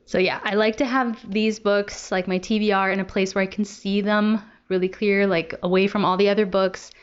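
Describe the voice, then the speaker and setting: A woman's voice: mid-range voice, level tone, moderate pace, smooth, highs cut off. A young adult woman talking casually to viewers as a book vlogger. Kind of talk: casual chat to camera.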